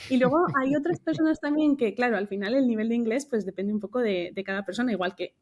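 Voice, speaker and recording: Higher-voiced woman chatting. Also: fast, a little mellow. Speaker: podcast guest, recruiter. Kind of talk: casual conversational anecdotes.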